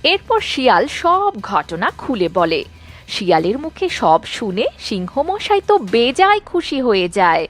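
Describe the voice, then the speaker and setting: A woman's voice: on the high side, very animated, a little slow, soft background music. A woman narrating a scripted children's story as the narrator.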